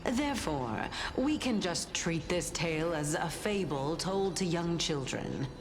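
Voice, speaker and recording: Cool, low-voiced scholar. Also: female voice, lively intonation, measured pace, over music. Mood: dismissive, analytical. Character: composed, scholarly skeptic, learned in alchemy. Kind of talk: scripted game dialogue acting.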